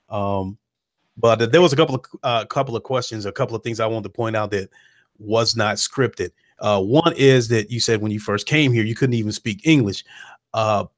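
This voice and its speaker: A man hosting an interview, talking in a relaxed way with many hesitations. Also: mid-range voice, dry recording, clean studio sound. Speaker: host. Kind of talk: casual interview.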